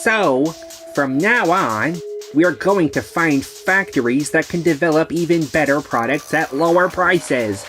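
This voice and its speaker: Casting: woman as stubborn, prideful sales boss in a manga dub, low register, music behind. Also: lively intonation, unhurried, fairly bright tone. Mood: insistent. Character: proud, stubborn sales manager, defensive under pressure.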